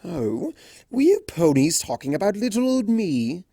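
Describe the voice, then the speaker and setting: Male trickster beast, smug and teasing, slow swooping drawl, played by a fan fiction reader. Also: medium pitch, slightly rough, no echo, clean studio sound, voice pushed up, exaggerated voice. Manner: drawling, cooing, mock-flattering.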